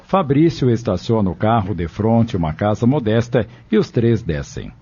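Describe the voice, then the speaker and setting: A man's voice: fairly low pitch, big pitch swings, normal speed, dark tone, muffled recording, slight background noise. A man reading scripted narration for an audio drama.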